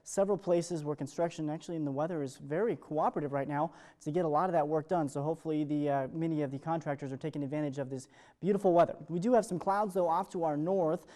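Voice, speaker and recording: A man's voice: on the high side, quick pace, dark tone. A man presenting a live morning weather forecast as a television meteorologist, in upbeat, ad-libbed broadcast patter.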